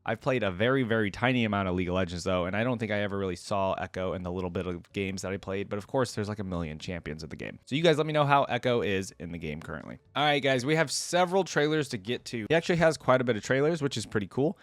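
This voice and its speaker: A man's voice: lowish voice, animated, fast talker, hardly any pauses, no echo. A man giving casual reaction commentary on a video game, thinking aloud and reading descriptions out.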